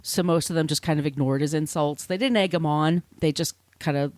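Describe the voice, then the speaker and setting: A woman's voice: low-pitched, fairly even intonation, fairly quick, a little mellow, dry recording. A woman hosting a podcast, telling a researched story in a conversational, irreverent way with casual swearing. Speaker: podcast host.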